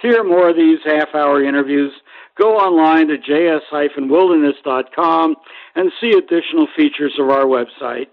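A man hosting an informal radio interview, phrasing things in a halting, hesitant way. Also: on the high side, narrow, tinny audio. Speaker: radio interview show host.

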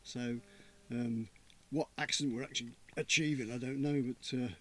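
A man, an actor in a stage play, calmly explaining how the cast learned a dialect in an interview. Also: medium pitch, moderate pace. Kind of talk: casual interview chat.

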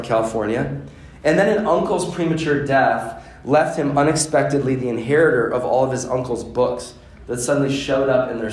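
A man's voice: medium pitch, a little mellow. Earnest man teaching parents. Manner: earnest, building to emphatic repetition. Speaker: seminar speaker on education. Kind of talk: workshop talk to parents. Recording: echoey room.

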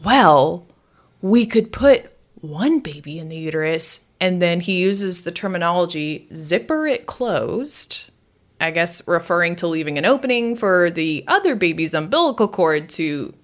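A woman's voice: on the low side, a little slow. Woman giving a wry, casual recap. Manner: wry, animated retelling with questioning asides. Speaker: podcast co-host. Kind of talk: casual conversational recap and commentary.

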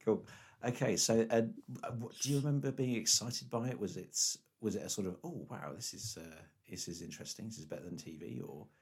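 Husky low-voiced playful male host. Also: brisk, hardly any pauses, a little mellow. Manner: playful, jokey, full of fillers. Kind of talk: casual interview chat.

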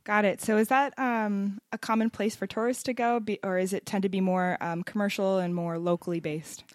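A woman's voice: medium pitch, fairly even intonation, normal speed, a little mellow, dry recording. A woman in her thirties hosting a travel podcast, upbeat and chatty in casual conversation. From United States. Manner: upbeat, chatty, laughs often. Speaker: podcast host.

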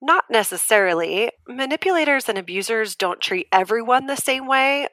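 Audio drama role for an actress: woman survivor on a debate panel, articulate and insistent, bright tone, very dry recording. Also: mid-range voice, no echo, natural voice, low-quality recording. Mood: earnest, measured. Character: Julia, earnest, firm woman abuse survivor explaining patiently. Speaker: debate guest.

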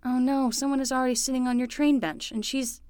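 A woman playing a patient, wry young nanny in a fiction podcast, dry and gently exasperated as she coaxes. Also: high voice, flat delivery, brisk, no echo, close to an everyday voice.

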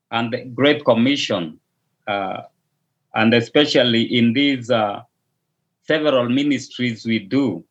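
A Kenyan man and pastor, a native Luo speaker, answering interview questions hesitantly, often repeating and restarting words. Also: medium pitch. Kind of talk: interview answers over a call. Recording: dull lo-fi audio.

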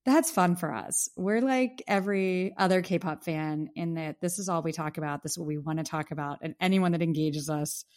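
A woman co-hosting a podcast in a casual chat, losing her voice with a slight cough, talkative and quick to laugh. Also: on the low side, darkish tone. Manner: chatty, laughs easily. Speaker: podcast co-host.

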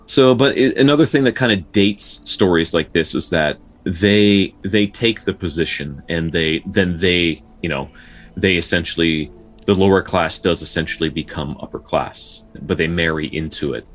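A man with a deep voice co-hosts a casual story podcast. He talks haltingly and circles back over his own phrases. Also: a bit bright. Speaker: podcast co-host.

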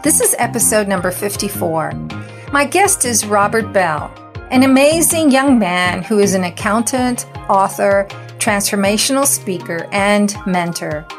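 A woman's voice: medium pitch, lively intonation, unhurried, music in the background. A woman hosting an interview podcast, earnest and encouraging, reading her introductions and promos. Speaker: podcast host. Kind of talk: scripted intro then interview chat.

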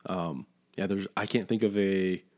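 A man's voice: deep voice, steady tone, measured pace, darkish tone. A man hosting a podcast interview, asking rambling questions full of hesitations. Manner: hesitant, many fillers and restarts, rambling questions. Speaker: podcast host. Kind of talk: casual interview chat.

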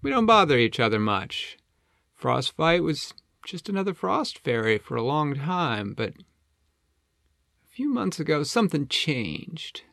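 Man voicing a high-pitched, easygoing storybook penguin buddy. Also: sing-song, takes it slowly, creaky, fairly bright tone, no echo, studio quality, exaggerated voice. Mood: chatty, explaining. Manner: chatty, hesitant "uh" and "you know", reassuring. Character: friendly, easygoing, helpful male penguin, folksy buddy. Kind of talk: children's storybook character voice.

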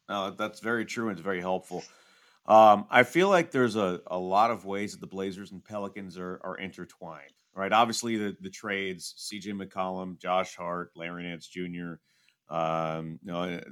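A man with a fairly low voice hosts a sports podcast. His delivery is conversational sports commentary, the talk of someone presenting a show. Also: a bit bright.

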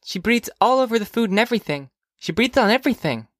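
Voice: medium pitch, animated, fast talker, no echo, studio quality, voice pushed up, close to an everyday voice. A narrator voicing a young girl, an earnest, blunt and chatty kid sister, in an audiobook. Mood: complaining. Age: child figure. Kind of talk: audiobook character dialogue.

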